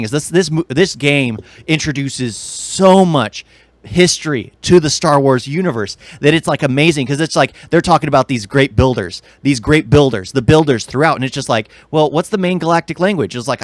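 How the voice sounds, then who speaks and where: A man's voice: fairly high pitch, brisk, no echo. Opinionated man rambling in casual chat. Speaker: podcast co-host. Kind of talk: casual chat about video games and film.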